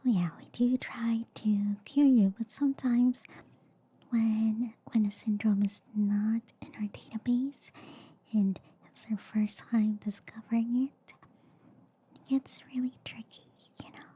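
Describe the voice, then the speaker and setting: Breathy whispering alien girl doctor, acted by a woman. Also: a little high, steady tone, full character voice. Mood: soothing, reassuring. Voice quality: breathy, hushed, mostly whispered. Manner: slow, chatty, soothing, repeating filler words. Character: quirky, reassuring alien girl doctor experimenting on human specimens. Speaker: ASMR performer. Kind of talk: soft-spoken ASMR roleplay.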